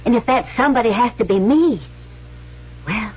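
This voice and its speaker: A woman's voice: on the high side, expressive, moderate pace, husky. Bold spy woman, muffled radio sound. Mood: determined, scheming. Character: bold, cunning female actress turned Civil War spy. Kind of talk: radio drama acting. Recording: muffled vintage radio recording.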